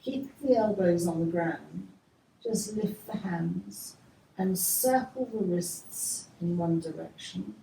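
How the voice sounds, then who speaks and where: Female yoga teacher, lowish creaky voice, gentle guided-relaxation delivery, recorded in an echoey room. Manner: gentle, unhurried instructing with soft trailing phrases. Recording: distant mic, echoey room, faint noise.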